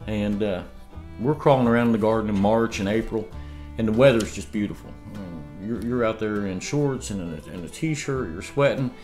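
Low-voiced man chatting at home. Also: moderate pace, a little mellow, faint music behind. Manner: rambling storyteller with laughs and restarts. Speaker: homesteading vlogger. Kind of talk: casual chat. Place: home dining room, indoors. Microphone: camera across the table.

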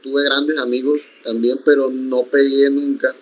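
Young man, first language Iku, measured reflective speech, frequent restarts, video call from home. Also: mid-range voice, little pitch movement, low-quality recording. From Sierra Nevada de Santa Marta, Colombia. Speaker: interview guest, accordionist. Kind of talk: conversational interview. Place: home room, indoors.

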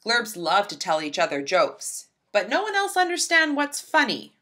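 Animated, bright-voiced woman reading aloud. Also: fairly high pitch, moderate pace. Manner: animated, emphatic, storybook delivery. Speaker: librarian reading a picture book aloud. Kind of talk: expressive children's read-aloud narration.

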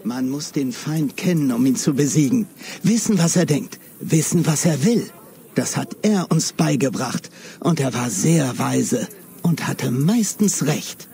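An actor playing a chatty, pondering villager in video game background dialogue, with a creaky voice. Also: male voice, medium pitch, expressive, a little slow, mellow. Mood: reflective.

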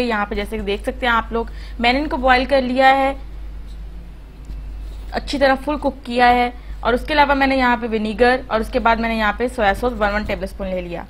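A woman talks through a home recipe in a chatty, instructive voice-over, dropping in plenty of fillers as she goes. Her voice sits a little high. A faint hum runs under the recording. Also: fairly bright tone, low-quality recording. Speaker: cooking channel host. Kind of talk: voice-over cooking tutorial.

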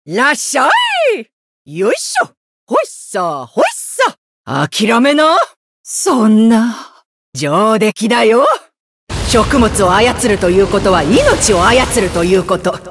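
An actress playing a big, jolly, motherly middle-aged warrior woman in an anime game, with a hearty, brassy, husky voice. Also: medium pitch, animated, slow pace, no echo, studio quality, faint music behind, full character voice. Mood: spirited, forceful. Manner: hearty, brassy, plain-spoken. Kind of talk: anime game battle voice lines.

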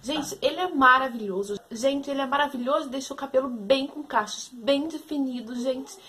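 A woman's voice: high voice, moderate pace, fairly bright tone. A young woman from Brazil chatting casually through a hair tutorial from her bedroom, talking to the camera the whole time. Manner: chatty, says "gente" and "meninas" constantly. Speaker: beauty vlogger. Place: small bedroom with shelves, indoors.

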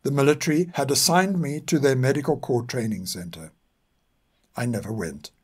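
An older man reads scripted historical narration in a measured, formal and serious way. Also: medium pitch, slightly rough. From South Africa. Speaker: narrator and podcast author.

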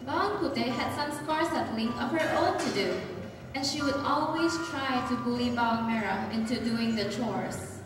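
A woman's voice: fairly high pitch, normal speed. A young woman narrating a stage pantomime as a scripted story, with a theatrical storyteller delivery. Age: young adult. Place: large theatre auditorium, heard over PA. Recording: echoey hall from far off, music behind. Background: loud music.

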